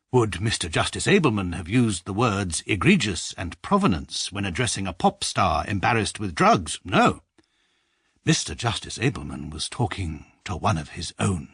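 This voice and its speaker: A pedantic English barrister. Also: male voice, fairly low pitch, a bit bright, breathy edge, no echo, exaggerated voice. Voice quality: measured, dry, pedantic. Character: precise, pompous adult male barrister narrating. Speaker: narrator, adult lead character. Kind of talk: radio drama narration.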